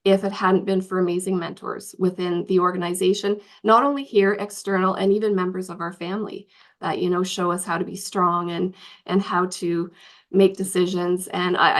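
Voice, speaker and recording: Raspy woman with a cold on a panel. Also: lowish voice. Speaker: municipal administrator panelist. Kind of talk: panel discussion.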